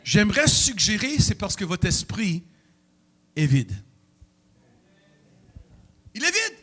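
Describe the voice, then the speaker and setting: A middle-aged man, preaching a sermon in a church with emotional, animated delivery and sudden outbursts. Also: sits high, slow, husky. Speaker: pastor.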